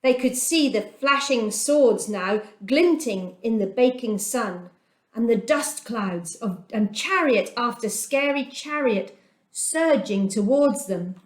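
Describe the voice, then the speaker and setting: A middle-aged woman telling a children's Bible story aloud, gentle and expressive, with dramatic pauses. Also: on the high side. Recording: echoey room.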